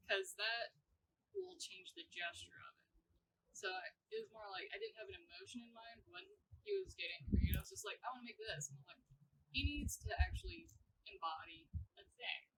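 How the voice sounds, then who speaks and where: A woman's voice: very high-pitched, vocal fry, a bit bright, distant mic, a little hiss or hum, poor audio. A woman, a ceramic artist, chatting playfully about her work and acting out her own thoughts. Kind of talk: casual interview chat. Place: library exhibit space.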